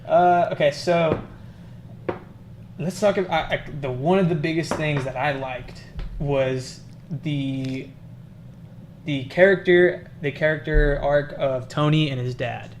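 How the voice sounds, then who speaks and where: A man hosting a podcast, talking movies in an informal, halting, sweary way. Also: fairly high pitch, fairly even intonation. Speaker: podcast host. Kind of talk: casual movie review chat. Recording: clear background noise.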